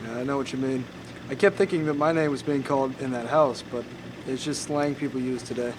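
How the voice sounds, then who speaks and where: A man acting as a gentle, forgiving Christ-like son, with an earnest, patient way of talking in film dialogue. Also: medium pitch, a little fast, noisy background, close to an everyday voice. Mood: subdued.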